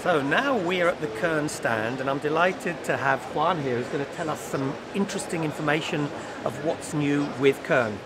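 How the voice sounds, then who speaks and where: Man, middle-aged, host, upbeat, slightly high voice, expo hall, loud background noise. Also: moderate pace. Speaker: host interviewer. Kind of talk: trade-fair interview. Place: busy indoor exhibition hall.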